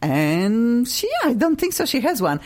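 A woman's voice: on the high side, big pitch swings, a little slow, darkish tone. A woman with a Polish accent hosting a radio talk show in a studio, chatty and playful, laughing often. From Poland. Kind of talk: lively radio chat. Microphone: studio microphone.

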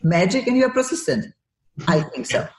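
A woman's voice: on the high side, expressive, moderate pace, darkish tone, reverberant. A woman from Poland with a Polish accent hosting a radio interview, talking effusively with some broken English. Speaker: radio show host. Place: radio studio.

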